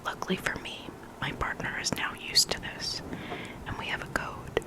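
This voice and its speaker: Hushed ASMR whisperer reading creepy stories. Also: normal speed, poor audio. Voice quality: breathy unvoiced whisper.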